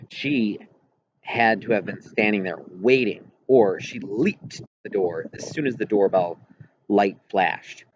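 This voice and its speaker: A man reading a first-person story aloud as scripted audiobook narration. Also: fairly low pitch. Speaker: audiobook reader. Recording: muffled, clearly noisy home recording.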